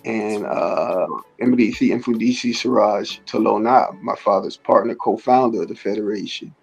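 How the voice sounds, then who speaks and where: A middle-aged man with a raspy voice, speaking as an interview guest in a halting way with many fillers and restarts. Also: on the low side, a little mellow, muffled recording, soft background music. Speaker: martial arts teacher, interview guest. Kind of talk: casual conversational interview.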